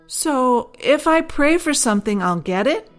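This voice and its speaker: A woman's voice: high voice, expressive, unhurried, faint music behind, pitched above the performer's own voice. A woman voicing a curious, earnest young girl with a wondering, simple manner in a children's storybook.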